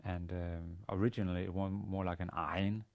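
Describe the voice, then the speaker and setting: A man in his forties, a native Danish speaker with a Danish accent, giving an instructional lecture in a halting, hesitant manner with repeated words. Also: low-pitched, level tone, a little mellow. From Denmark. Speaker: university language teacher. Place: indoor studio with plain grey backdrop.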